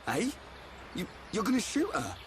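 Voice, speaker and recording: Hearty best-friend fighter, slightly high register, casual and blunt, video game cutscene with light background noise. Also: male voice, huge pitch swings, moderate pace. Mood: sheepish. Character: loyal, simple, hearty young male fighter friend.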